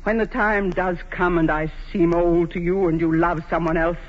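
A woman's voice: fairly low pitch, steady tone, moderate pace, close to an everyday voice. A woman acts out an aging English queen with commanding, theatrical dignity and a vulnerable heart. She speaks in the grand manner of 1940s radio theatre. The broadcast is vintage and dull in tone. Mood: tender, pleading. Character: proud, aging English queen, imperious yet vulnerable in love.

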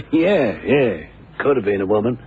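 A man's voice: medium pitch, very animated, unhurried, breathy edge, exaggerated voice. An actor playing an easygoing police lab man reporting in an old radio drama. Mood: matter-of-fact. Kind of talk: 1960s-70s radio drama acting. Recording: tinny, noisy old radio recording.

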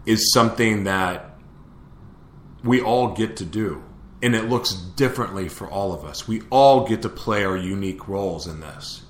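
A man's voice: lowish voice, roomy sound. A man hosting a live motivational show, preaching to his listeners and asking them the same question again and again. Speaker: show host.

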